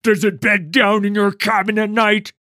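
A man voicing a stern, bitter mage hunter from a fantasy story, rough-voiced, sneering and accusatory. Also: lowish voice, animated, slow, no echo, studio quality, heavily acted. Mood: furious interrogation. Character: stern, bitter male mage hunter, grieving and menacing interrogator.

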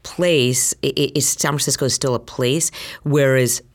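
A middle-aged doctor from Utah speaks, earnest and reflective. The voice sits at a middle pitch. The speaker often pauses with "um" and "uh" while thinking aloud. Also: steady tone. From Utah, United States. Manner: earnest, reflective, with frequent fillers. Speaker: HIV physician, interview guest. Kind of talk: conversational interview answer.

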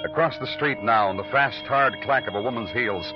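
An actor playing a terse, world-weary police detective and brooding narrator in a 1950s radio noir drama. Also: male voice, mid-range voice, fairly quick, music in the background, close to an everyday voice. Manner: clipped with suspects, brooding and poetic when narrating. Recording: dull lo-fi old radio recording.

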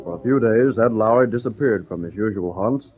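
A tinny old radio recording with music behind a male narrator. He delivers scripted drama narration at a quick clip. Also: on the low side.

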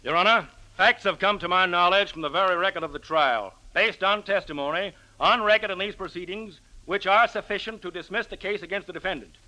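An earnest American prosecutor in an old radio courtroom drama. His voice sits high and cuts very sharply. He declaims with formal, deliberate insistence. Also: bright, forceful tone, poor audio. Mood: formal, insistent. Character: earnest, formal male prosecuting district attorney. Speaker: actor in a radio play.

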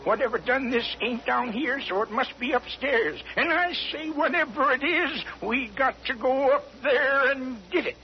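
An actor voicing an excitable, blustering dwarf with folksy, muddled speech in a 1940s radio comedy. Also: male voice, very high-pitched, moderate pace, few pauses. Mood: alarmed, bossy. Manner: folksy, muddled, self-correcting. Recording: dull old radio broadcast.

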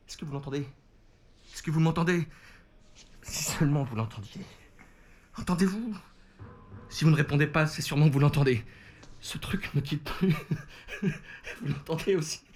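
A man's voice: on the high side, darkish tone, lightly acted. An actor playing a frantic man obsessed with a noise, speaking insistently and repeating himself in an audio drama. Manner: agitated, insistent repetition. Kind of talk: French audio fiction acting.

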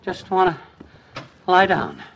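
A man's voice: sits high, wildly swooping pitch, slow pace, creaky, fairly bright tone, dull audio, exaggerated voice. A man playing a grumbling, stammering, henpecked chubby American husband with folksy slang in an old-time radio comedy. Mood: flustered, evasive.

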